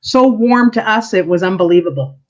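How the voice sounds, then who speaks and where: A woman's voice: medium pitch, brisk, darkish tone. A woman historian answers interview questions in an earnest, explaining way, breaking into easy laughter. Her voice sits in the middle range. The recording sounds dull and lo-fi. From Canada. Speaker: historian and church archivist, interview guest.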